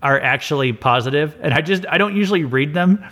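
A man's voice: fairly high pitch, expressive, fairly quick, fairly bright tone. A man hosting a Bible study podcast, answering listener mail in a casual, earnest way with frequent fillers and restarts. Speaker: podcast host. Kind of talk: casual mailbag commentary.

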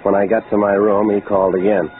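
An actor playing a wisecracking, world-weary private detective in 1940s hardboiled radio style, with clipped, deadpan, slangy delivery. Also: male voice, on the low side, fast talker, lightly acted. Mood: weary, wry. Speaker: lead actor and narrator. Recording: muffled phone-like vintage radio recording, music behind.

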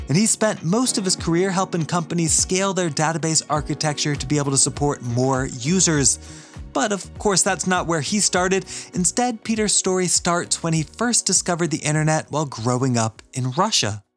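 A man hosting a podcast, giving a scripted introduction and ad read in a polished, measured way. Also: fairly high pitch, lively intonation, faint music behind. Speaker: podcast host, university teacher.

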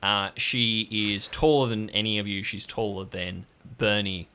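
A man narrates as game master in a relaxed, descriptive way, often pausing with an um. His voice is low but bright and forceful. He sounds easygoing while he sets each scene. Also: clean tone. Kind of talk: improvised audio drama narration.

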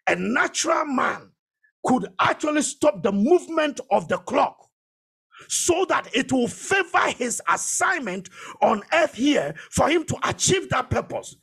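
A man's voice: very high voice, sing-song, a little slow, creaky, a bit bright, slightly rough. A man preaching a sermon as a preacher, emphatic and exhortatory, repeating phrases to drive them home.

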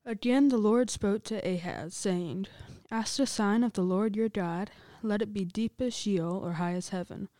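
A woman reading scripture aloud in a measured, solemn way. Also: medium pitch, dark tone, no echo. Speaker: scripture reader.

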